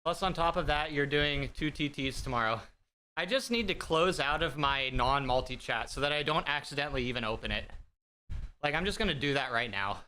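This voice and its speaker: A man talks to his live viewers from a home streaming desk, rambling, self-deprecating and loose with fillers. His voice is bright, higher than most men's and very clean, picked up close on a desk mic. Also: fairly quick, smooth. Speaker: livestream host. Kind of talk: casual chat with live viewers. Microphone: desk streaming mic through an audio mixer.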